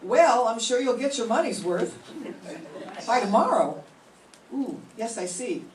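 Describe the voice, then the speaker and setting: A woman's voice: fairly high pitch, expressive, darkish tone, a little hiss or hum. An older woman reading the part of a sensible, sarcastic young roommate in a radio sitcom, recorded from far off in a roomy classroom. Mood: wry. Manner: wry, quick with sarcastic comebacks. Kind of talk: 1940s radio sitcom acting.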